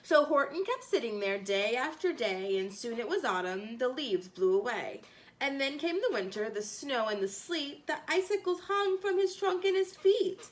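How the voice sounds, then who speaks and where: Highly animated woman reading a storybook. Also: slight background noise. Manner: expressive, theatrical emphasis with drawn-out phrases. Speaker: storyteller reading a children's book. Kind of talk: animated rhyming storybook read-aloud.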